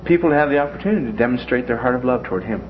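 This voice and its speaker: A man's voice: medium pitch, lively intonation, very fast. Man teaching a folksy Bible class. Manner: folksy, joking asides. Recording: dull lo-fi classroom recording with faint noise.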